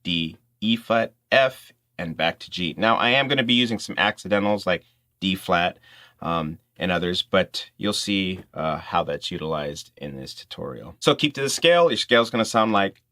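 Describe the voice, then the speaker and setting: A man's voice: sits low, lively intonation, moderate pace, sharp tone. A man hosting an informal music tutorial, explaining things step by step in instructional talk.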